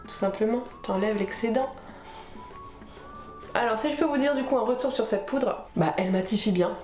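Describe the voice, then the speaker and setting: Female beauty vlogger, informal tutorial chat, medium pitch, stuffed up with a cold, echoey room sound. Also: a little mellow. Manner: chatty, informal, talking while applying makeup. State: sniffly with a head cold. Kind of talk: casual makeup tutorial chat. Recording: roomy echo with music behind.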